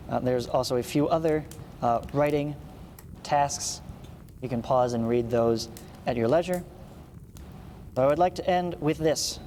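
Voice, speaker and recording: A man's voice: on the high side, a little mellow, big-room sound, slight background noise. A man teaching a read-along lesson, reading aloud and explaining directly to the listener as he goes. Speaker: geometry teacher.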